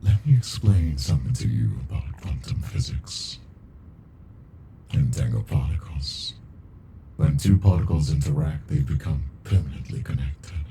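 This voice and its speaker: A man voicing an ancient captive alien monster in an ASMR roleplay, deep and gravelly, brooding, intimate and possessively smitten with a human. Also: animated, mellow, a little hiss or hum, exaggerated voice. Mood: smug, infatuated. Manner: slow, brooding, deliberate, intimate.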